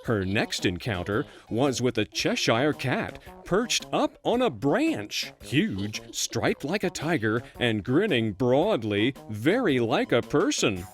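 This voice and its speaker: A storyteller narrating a bedtime fairy tale in an expressive, playful storybook manner. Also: medium pitch, slow, few pauses, soft background music.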